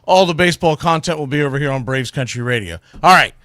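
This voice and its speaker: Man, sports show host, rambling folksy delivery, slightly raised pitch, dry close-miked sound. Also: lively intonation, fast, a bit bright, no echo. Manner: folksy, rambling, informal with Southern-style turns like y'all. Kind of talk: casual solo livestream sports commentary.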